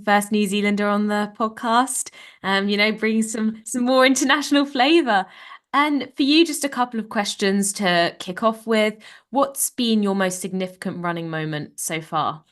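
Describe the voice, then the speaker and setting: A woman's voice: mid-range voice, normal speed. A young woman hosting a podcast interview. She reads a scripted intro and then chats in an upbeat, encouraging way, full of praise. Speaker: podcast host.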